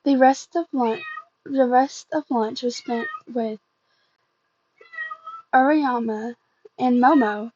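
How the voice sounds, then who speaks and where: A woman reading fan fiction aloud as an amateur, in a halting way, often stumbling and repeating phrases. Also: fairly high pitch, darkish tone, no echo, highs cut off.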